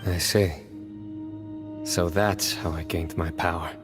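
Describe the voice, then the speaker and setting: A man voicing a calm, stoic young warrior judge in a video game, serious and restrained, choosing words deliberately. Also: low-pitched, music in the background. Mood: calm, reflective. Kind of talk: dramatic video game voice acting.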